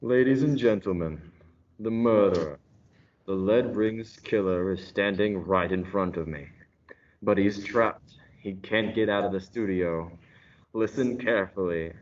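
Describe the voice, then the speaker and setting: An actor playing a smug, theatrical announcer who narrates a radio murder mystery with deliberate drama. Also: male voice, on the low side, a little hiss or hum, close to an everyday voice. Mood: urgent, dramatic. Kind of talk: old-time radio detective drama acting. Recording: dull lo-fi audio.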